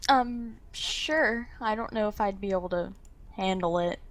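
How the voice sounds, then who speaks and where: Female game player, mid-pitched, casual, unsure and full of questions. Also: a little slow. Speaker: role-play game player.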